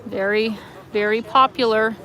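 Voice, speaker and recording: A woman's voice: fairly high pitch, fairly even intonation, unhurried, sharp tone. A woman with a Canadian accent chatting in a rambling way, with many fillers, while she walks city streets on a live phone stream. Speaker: travel vlogger. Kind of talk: live walking-tour chat.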